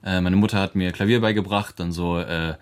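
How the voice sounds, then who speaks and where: Deep-voiced young man, loose and thoughtful. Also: steady tone, a little fast, clear, resonant tone. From Germany. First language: English. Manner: loose and thoughtful, with many fillers and light laughs. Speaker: musician and producer. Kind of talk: relaxed interview answers.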